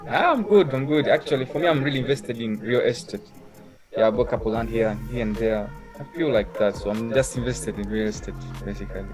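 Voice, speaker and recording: A man's voice: medium pitch, quick pace. Young man on a call with music. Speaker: caller in a group voice chat. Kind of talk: casual chat.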